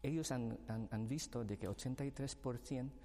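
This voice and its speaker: A man from the Philippines, not a native speaker, explaining his view on a discussion panel in a measured way and citing studies. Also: mid-range voice, mellow, long reverb tail. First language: Filipino language. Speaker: panel guest.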